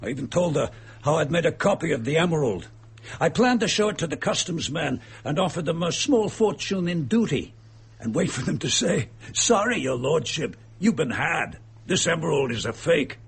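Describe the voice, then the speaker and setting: Husky, gravelly villain. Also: male voice, fairly high pitch, very animated, normal speed, exaggerated voice. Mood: gloating, then bitter. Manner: sneering, darkly amused, coarse-tongued. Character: gloating, bitter male murderer and impostor, cornered. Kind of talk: radio drama acting.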